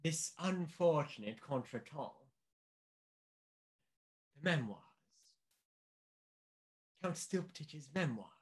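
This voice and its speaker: An actor playing a pompous, condescending British government official in a radio play, speaking formally and emphatically. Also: male voice, on the high side, animated, takes it slowly, long pauses. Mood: patronizing, fussy.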